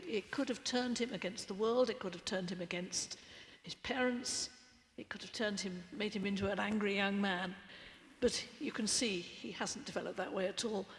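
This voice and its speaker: A woman hosting a live interview in a church, guiding the talk with brisk prompts. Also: medium pitch. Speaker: host. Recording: long church reverb.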